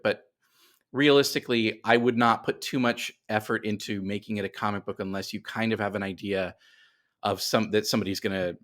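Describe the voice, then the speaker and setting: Low-voiced man talking casually as a guest. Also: fairly bright tone. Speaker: guest, comics writer. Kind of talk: casual podcast interview talk.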